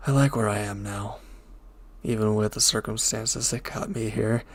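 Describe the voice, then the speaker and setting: A man playing a reformed villain turned devoted lover in an intimate audio drama, speaking softly, gently and hesitantly with a breathy voice. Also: fairly low pitch, steady tone, moderate pace. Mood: reflective, wistful.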